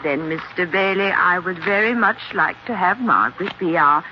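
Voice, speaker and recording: An actress playing a genteel wife with honeyed sweetness and cool menace, speaking in a measured, ladylike 1940s radio manner. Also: fairly low pitch, close to an everyday voice. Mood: sweetly condescending. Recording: noisy, dull 1940s radio recording.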